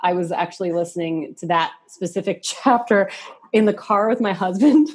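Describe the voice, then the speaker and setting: A woman's voice: medium pitch, normal speed, darkish tone. A woman in her thirties chatting casually as a podcast guest, candid and giggly, peppering her talk with "like". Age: 30s. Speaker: podcast guest, musician and hairstylist. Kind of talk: casual chat.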